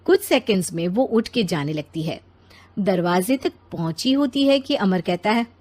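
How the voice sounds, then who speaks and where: A woman narrating an animated moral story in a scripted storytelling style. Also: medium pitch, moderate pace.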